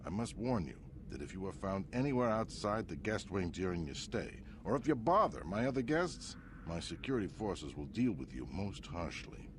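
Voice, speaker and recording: An actor playing a smug, imperious crime boss in a video game, with a pompous, suavely threatening manner. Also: male voice, low-pitched, very animated, moderate pace, few pauses, background noise. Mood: gracious menace. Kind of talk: dramatic video game acting.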